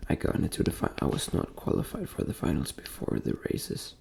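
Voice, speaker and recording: A young man from Denmark speaking soft, breathy ASMR in a gentle near-whisper, repeating phrases as he talks in a personal, motivational way. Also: a little fast, slight background noise. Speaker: ASMR creator and host.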